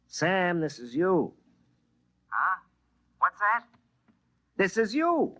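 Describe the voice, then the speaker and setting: A man's voice: high-pitched, huge pitch swings, extremely slow, bright, forceful tone, heavily acted. An actor playing a folksy small-town telephone lineman in a 1950s radio comedy, telling his story in a colloquial way. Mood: excited. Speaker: lead actor and first-person narrator. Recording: vintage radio broadcast recording.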